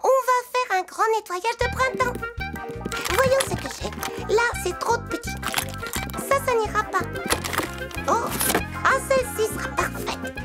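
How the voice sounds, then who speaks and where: A woman's voice: very high voice, unhurried, pauses often. A woman voicing a tiny, kindly mouse dentist who reassures and instructs in a children's cartoon. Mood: businesslike. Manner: reassuring, instructive, gently scolding. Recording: music behind the voice.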